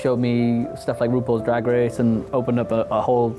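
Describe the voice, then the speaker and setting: A thirty-year-old man speaks as a guest on a chat show, hesitant and full of fillers and restarts. His voice sits at a middle pitch. Music plays behind him. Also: completely flat delivery, moderate pace. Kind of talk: casual talk show chat.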